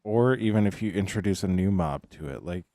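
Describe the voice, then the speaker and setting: A man's voice: sits low, animated, a little fast, warm tone. A young man casually chatting while streaming a game, rambling with lots of fillers as he muses. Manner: rambling, filler-heavy musing. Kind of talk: casual gaming stream chat.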